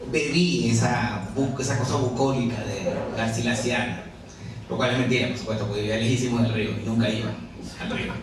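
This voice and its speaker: A Venezuelan novelist in his forties, talking to an audience in a chatty, self-mocking way and laughing often. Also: medium pitch. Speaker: novelist presenting his book. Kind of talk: conversational talk to an audience. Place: busy café with an audience. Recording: echoey café room, mic far off, crowd chatter.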